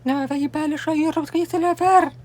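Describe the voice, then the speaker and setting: A woman with a Russian accent, a native Russian speaker, explaining at home in a deliberate way while she signs along. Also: high voice, steady tone, darkish tone. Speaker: language learning vlogger. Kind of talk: explaining while showing books. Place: home room with bookcase, indoors.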